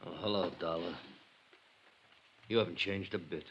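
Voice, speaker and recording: An actor playing a bitter, shady middle-aged American ex-convict in a 1950s radio drama, edgy and slangy. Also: male voice, low voice, steady tone, moderate pace, a bit bright, dull audio, a little hiss or hum. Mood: resentful, bargaining. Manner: edgy, tough-talking, slangy. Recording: old radio recording.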